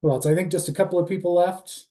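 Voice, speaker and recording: Guy running a zoom intro round, super mellow dark voice, short thanks. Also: high voice, fairly even intonation, fast talker. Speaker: meeting host. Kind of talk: casual video-call chat.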